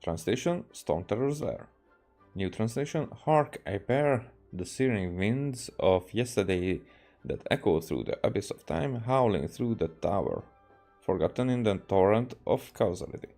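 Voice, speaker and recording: Low, mellow-voiced man from Hungary streaming. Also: animated, soft background music. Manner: halting, re-reads stumbled words. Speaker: game streamer. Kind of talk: casual gameplay commentary, reading game text aloud.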